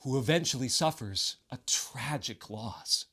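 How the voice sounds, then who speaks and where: A man's voice: mid-range voice, unhurried, sharp tone, soft background music. A man delivering scripted video game commentary in a sardonic, wry way with exaggerated emphasis.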